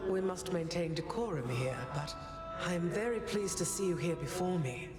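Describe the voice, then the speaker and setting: A woman playing a regal, reserved but tender grandmother goddess in a fantasy game, speaking in a formal, measured, dignified way. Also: lowish voice, close to an everyday voice. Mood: restrained affection. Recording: long echoing reverb under loud music.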